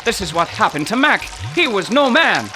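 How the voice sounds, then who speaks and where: An anxious, bookish male professor, as an actor plays him in an audio drama. His speech is formal and earnest but very lively in pitch, heard through clear background noise. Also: normal speed. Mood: alarmed. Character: gloomy, anxious poetry professor, bookish and suspicious man.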